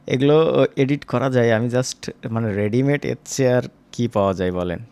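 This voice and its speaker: A man's voice: medium pitch, moderate pace, darkish tone, clear, resonant tone. A man with a mid-range voice presents a screen-recorded tutorial. His delivery is casual and informal, and he addresses his viewers directly as he explains each step. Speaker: animation tutor.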